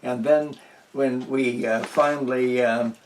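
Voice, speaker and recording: Very old man, slow and rambling. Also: mid-range voice, fairly even intonation, far from the mic, slight background noise, poor audio. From New Hampshire. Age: 90s. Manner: rambling, many restarts and fillers, chuckles. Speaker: interviewee, veteran. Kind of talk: oral history interview. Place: at home.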